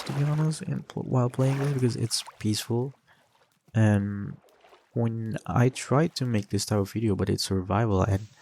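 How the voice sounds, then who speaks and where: A man's voice: on the low side, creaky, background noise. A young man with an Indonesian accent, chatting loosely while playing a game, with lots of fillers and restarts. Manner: rambling, many fillers and restarts. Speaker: gaming channel host.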